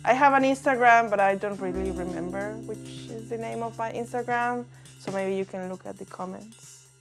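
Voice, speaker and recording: A woman in her thirties from Mexico talks playfully in a relaxed chat, breaking into laughter as she speaks. Her voice sits at a middle pitch. Music plays clearly behind her. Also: normal speed. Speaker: artist guest. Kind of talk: casual conversation.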